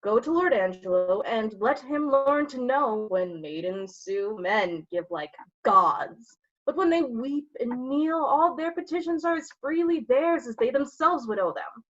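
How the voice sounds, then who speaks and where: Woman playing a persuasive gentleman, muffled lo-fi sound. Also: fairly high pitch, animated, measured pace. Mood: urgent, coaxing. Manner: urging, persuasive. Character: brash, persuasive gentleman urging on a friend. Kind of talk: Shakespearean staged reading.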